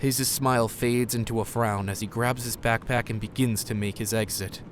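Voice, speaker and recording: A man's voice: medium pitch, fairly even intonation, moderate pace, slight background noise. A man reading scripted scene narration for an audio drama.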